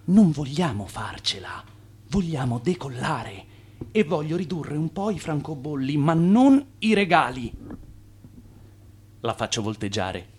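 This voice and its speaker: An actor playing an ambitious, boastful immigrant schemer in radio theatre, with an emphatic, self-assured delivery. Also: male voice, on the high side, sing-song, unhurried, darkish tone, husky, full character voice. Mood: firm.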